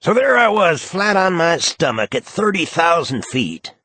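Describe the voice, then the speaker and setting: Guy putting on a squealy camp old hustler, way up high, dry close sound. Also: sing-song, measured pace, sharp tone, dry recording, full character voice. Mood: shrill camp. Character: flamboyant aging male con man, shrill.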